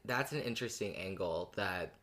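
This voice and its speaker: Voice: low-pitched, expressive, normal speed, a bit bright. A podcast co-host chatting casually about fan theories, thinking aloud and reading out listener comments.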